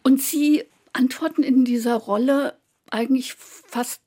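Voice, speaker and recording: A woman's voice: sits high, fairly even intonation, measured pace, darkish tone. A woman interviewing an actress, asking long, winding questions that search for the right words.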